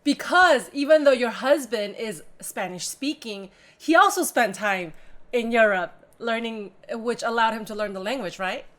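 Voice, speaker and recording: A woman's voice: a little high, normal speed, fairly bright tone. A woman co-hosting an interview, chiming in with short, supportive remarks. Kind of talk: casual interview chat.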